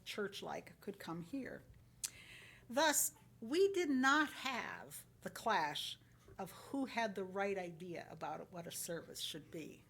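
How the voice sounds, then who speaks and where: A woman reading a sermon from a script as a lay church speaker, in earnest, deliberate phrases. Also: medium pitch, a bit bright. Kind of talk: sermon, read from a script with short personal commentary.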